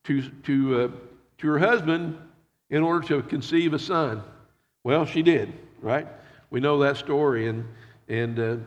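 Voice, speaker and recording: A male pastor leads a prayer and then a sermon, his voice a little nasal from allergies. He often halts and repeats his words as he goes. The sound carries a long reverb from the church hall. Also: medium pitch.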